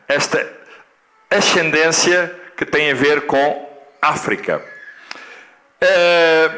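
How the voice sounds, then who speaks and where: A middle-aged male pastor from Angola with a European Portuguese accent preaches in an unhurried, measured way. His voice sits a little high and sounds bright and forceful. He repeats his main points and pushes them with rising emphasis. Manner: slow, deliberate, repeats key phrases, rising to emphatic peaks. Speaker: guest pastor. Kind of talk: sermon. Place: church hall.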